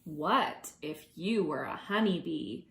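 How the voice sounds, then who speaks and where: A woman reading aloud to preschoolers as their teacher, expressive and gentle, drawing out words and adding conversational asides. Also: deep voice, vocal fry, echoey room, background noise. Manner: gentle, deliberate, drawing out words for young listeners.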